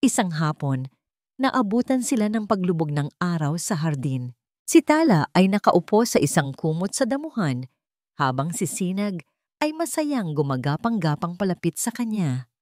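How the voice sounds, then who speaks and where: A woman reading a scripted dramatic story in a measured, sentimental way, phrase by phrase. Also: on the low side, very animated, a little mellow, no room sound, clean studio sound. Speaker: story narrator.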